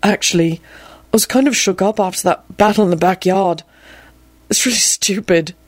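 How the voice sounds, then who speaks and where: A woman's voice: medium pitch, normal speed, no room sound, lightly acted. A woman voicing an awkward, earnest young man in a storybook reading, hesitant and apologetic. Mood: sheepish.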